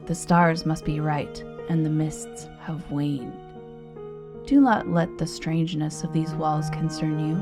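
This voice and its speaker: Podcast librarian host: woman, deep register, theatrical and formal horror intro, unhurried delivery. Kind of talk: scripted eerie horror anthology introduction. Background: music.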